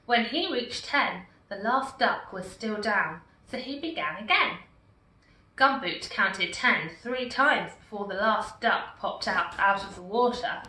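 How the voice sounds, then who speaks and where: Woman reading a picture book aloud, slow and animated, bright voice, echoey room far from mic. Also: mid-range voice. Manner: slow, expressive, warmly animated. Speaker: storyteller.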